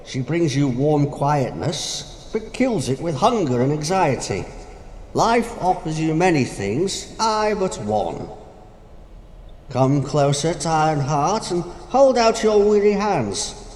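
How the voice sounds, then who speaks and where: An actor playing Death personified as a sly, taunting figure with grandiose, mocking declamation in a stage-like audio drama. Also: male voice, on the high side, very animated, slow pace, darkish tone. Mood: coaxing. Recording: echoey hall, faint noise.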